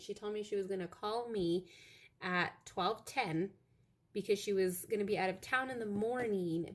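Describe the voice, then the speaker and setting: A young woman with a naturally loud voice talks casually to her viewers from a home office. She meanders between thoughts with plenty of fillers. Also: mid-range voice. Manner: chatty, meandering, lots of fillers. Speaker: craft vlogger. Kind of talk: rambling casual chat to viewers.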